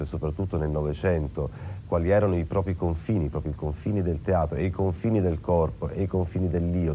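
Male commentator, low dark voice, reflective and hesitant interview talk. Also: slight background noise. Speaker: commentator on theatre and the body.

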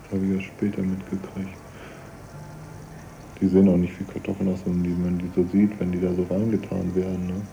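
A man's voice: low-pitched, monotone, brisk, creaky, dark tone, a little hiss or hum. A man chatting casually as he reminisces, on a dull cassette recording.

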